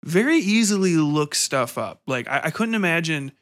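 A man's voice: on the high side, sing-song, normal speed, a bit bright. Rambling, informal man hosting a podcast. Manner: rambling, informal, thinking aloud. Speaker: podcast host and author. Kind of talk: casual conversational interview about horror fiction.

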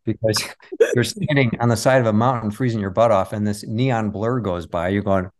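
A man's voice: on the low side, moderate pace. A man speaking as an interview guest, telling anecdotes and explaining his work in a casual, conversational way. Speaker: interview guest, performing magician.

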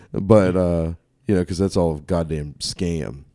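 A congested man with a deep, dark voice rambles through a story, swearing freely and laughing at his own jokes. The sound is close and dry, with no room around it. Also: no echo. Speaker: podcast co-host. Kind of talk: casual profane banter.

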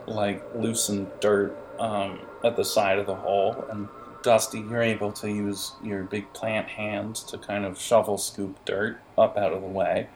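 A man improvising the narration of a tabletop adventure as game master, in a halting, conversational way. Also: lowish voice, level tone, soft background music, rough recording.

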